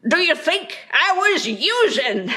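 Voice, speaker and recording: A woman voicing a chatty puppet character who draws her words out slowly and giggles. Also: very high-pitched, sing-song, pushed and bright, voice pushed up, heavily acted.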